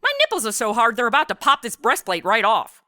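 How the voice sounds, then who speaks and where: A woman's voice: on the high side, big pitch swings, fairly quick, sharp tone, dry recording, studio quality. A woman with an American accent playing a brash, flippant superheroine, sarcastic and irreverent, in a comedy audio drama.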